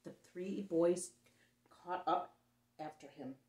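A middle-aged woman reads a picture book aloud to young children with theatrical emphasis and dramatic pauses. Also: on the low side, a little mellow. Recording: roomy echo on the voice.

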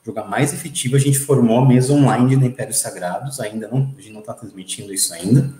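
A man's voice: mid-range voice, fast talker, echoey room. A man, a guest telling rambling stories with plenty of fillers on a video call from home through an earphone mic. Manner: rambling, lively storytelling with many fillers. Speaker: interview guest, game writer. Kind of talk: casual video-call interview. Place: small room at home, indoors.

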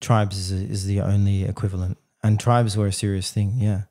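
A man co-hosting a podcast, arguing his points in casual, animated debate and often saying "bro". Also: lowish voice, normal speed. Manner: animated, argumentative, says "bro" a lot. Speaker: podcast co-host.

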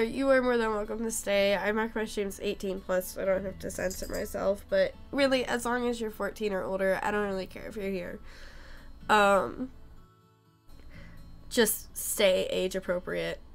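A young woman talks to her stream in a loose, rambling way, filling pauses with ums. She is sick and her voice comes and goes. Soft music plays faintly behind her. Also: medium pitch, vocal fry. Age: young adult. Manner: chatty, rambling, many ums. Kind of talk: casual live-stream chat.

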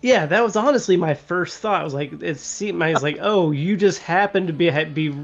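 A man's voice: fairly high pitch, fairly quick, dull audio, soft background music. A man playing in an online tabletop game, chatting loosely with lots of "like.". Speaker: player. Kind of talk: casual chat at a tabletop game. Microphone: video call webcam.

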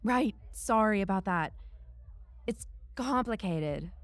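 A sarcastic, guarded young woman played by an actress speaks with blunt, casual wryness. Her delivery is unhurried, with a mid-range pitch that swings expressively, in audio drama style. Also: lively intonation, dry recording. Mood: guarded, evasive.

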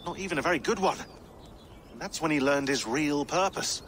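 An actor playing a talkative, sardonic tech expert in a video game. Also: male voice, a little high, a little fast, slight background noise. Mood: wry. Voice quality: sardonic, animated.

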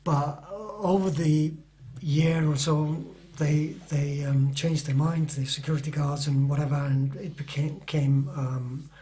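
A man's voice: fairly high pitch, steady tone, takes it slowly, few pauses, dark tone. A middle-aged man who is not a native English speaker, an author answering interview questions in a hesitant way, with many fillers and restarts.

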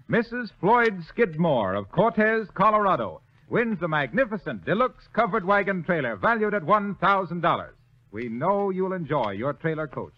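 A man working as a radio announcer, reading scripted copy in 1930s broadcast style. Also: high voice. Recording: muffled 1930s radio recording.

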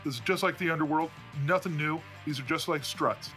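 An encouraging racing crewmate, played by a man in a tabletop game, coaches his friend. The voice is bright and fairly high, with music behind it. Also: fairly quick, rough recording. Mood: urgent, reassuring. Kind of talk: in-character tabletop roleplay.

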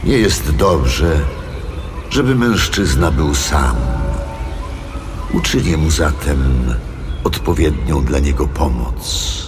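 God as a commanding creator in an audio Bible drama. His low voice speaks with grave, measured authority. It rings in a long reverb, with music underneath. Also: lively intonation, full character voice. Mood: solemn. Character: God, solemn commanding male creator deity.

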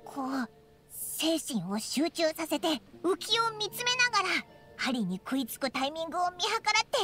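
An actress voicing a tiny childlike fairy companion in a Japanese anime game, squeaky, blunt and petulant with exaggerated delivery. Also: female voice, sing-song, moderate pace, sharp tone, faint music behind, exaggerated voice. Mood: indignant. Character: tiny childlike fairy companion, boyish-talking, indignant and excitable.

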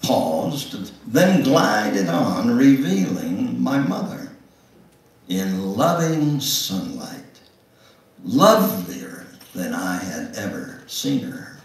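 A ninety-year-old man giving a dramatic, pause-filled memoir reading as a guest speaker. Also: lowish voice, sing-song. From Knoxville, Tennessee, United States. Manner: slow, theatrical delivery with long pauses. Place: church sanctuary, indoors. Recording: echoey hall, distant microphone.

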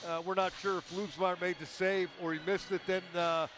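A man's voice: high voice, flat delivery, brisk, music in the background. A man giving color commentary at a live hockey game, breaking down plays in a loose, analytical way with frequent fillers.

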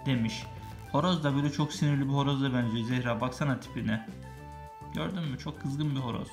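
A woman with a low voice reads a children's tale aloud. She moves between storybook narration and casual asides to a small child, explaining as she goes. Music plays behind her. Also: fairly quick. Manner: explains and chats with the child while reading. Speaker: parent reading a children's story to a young child.

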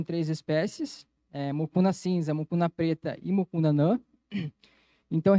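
Male lecturer, slightly high mellow voice, methodical explainer presenting at a conference. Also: no echo. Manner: explanatory, methodical, frequent "então" connectives. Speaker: agronomy lecturer. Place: auditorium stage, indoors. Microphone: handheld microphone.

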